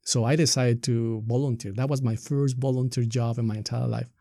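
A man's voice: mid-range voice, fairly even intonation, a little fast, creaky, dark tone, no room sound, clean studio sound. A middle-aged man from Ecuador, a native Spanish speaker with an Ecuadorian accent, giving earnest, explanatory interview answers with rhetorical questions. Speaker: nonprofit staff member being interviewed.

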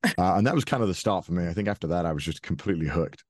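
A young man with a very deep voice talks casually as an interview guest. He stumbles and restarts often and laughs easily. The recording is close and dry. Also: very fast, a little mellow, dry recording. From Wales. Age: 20s. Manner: hesitant, many restarts and fillers, laughs often.

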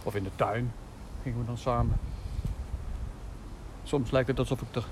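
An actor playing a kindly, evasive, middle-aged village priest in a naturalistic audio drama, musing and gently paternal. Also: male voice, mid-range voice, fairly even intonation, normal speed, noisy background, close to an everyday voice. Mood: wistful, solemn.

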